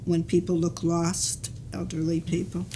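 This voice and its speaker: A woman in her sixties hosting an interview, asking questions in a halting way and repeating words. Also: on the low side, little pitch movement, dark tone, a little hiss or hum. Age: 60s. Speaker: interview host. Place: community TV studio.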